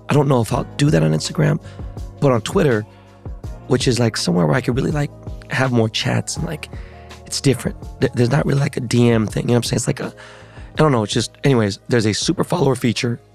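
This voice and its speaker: A hoarse man hosting a podcast in casual chat, talking in slangy, profane, hyped-up street style. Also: mid-range voice, a little mellow. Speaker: podcast host.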